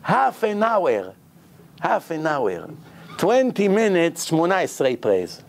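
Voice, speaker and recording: A man with an Israeli accent, a rabbi giving a sermon in lively, broken English, acting out the people in his stories. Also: on the high side, slow, gravelly. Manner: animated, acts out quotes, non-native English phrasing. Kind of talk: storytelling sermon.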